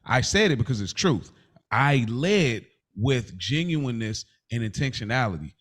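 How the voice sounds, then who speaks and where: Animated man reasoning through a podcast debate. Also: mid-range voice, normal speed. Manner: explanatory, restates and clarifies his point. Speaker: podcast co-host. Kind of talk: casual debate with a co-host.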